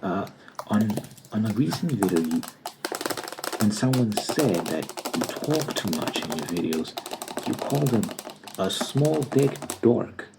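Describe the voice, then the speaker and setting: A man playing a stern, formal TV news anchor in an ASMR roleplay, speaking softly and half-whispered with a stiff, stammering interviewer manner. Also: fairly low pitch, very animated, slow pace, gravelly, heavy background noise, pitched above the performer's own voice, exaggerated voice. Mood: formal, probing. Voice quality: soft-spoken, half-whispered.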